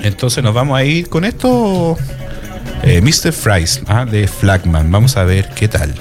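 A man's voice: on the low side, big pitch swings, a little slow, music in the background. Chatty Chilean radio host in his forties. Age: 40s. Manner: chatty, reads listener messages aloud with friendly asides. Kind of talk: casual radio chat.

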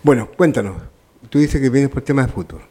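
A man's voice: medium pitch, a little fast, a crowd in the background. A man with a Chilean accent hosting a live local radio interview in a courteous, formal way. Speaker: radio host.

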